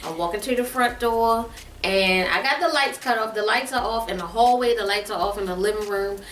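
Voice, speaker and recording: A woman's voice: mid-range voice, brisk, a bit bright, slight background noise. A middle-aged woman telling a funny story casually, emphatic and repeating phrases for comic effect. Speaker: guest mother telling a family story on her daughter's vlog. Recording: roomy echo, distant mic, faint music.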